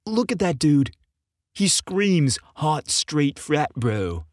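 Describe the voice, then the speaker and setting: A man's voice: on the high side, sing-song, slow, darkish tone, no echo, studio quality, voice pushed up. A man voicing a friendly but hesitant young man in an audiobook, casual and wry. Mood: skeptical. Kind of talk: audiobook character dialogue.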